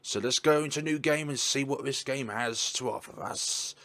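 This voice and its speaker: A young man chatting casually as he plays a story game and thinks aloud with excitement. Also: medium pitch, moderate pace. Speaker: gaming YouTuber. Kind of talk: casual let's-play commentary.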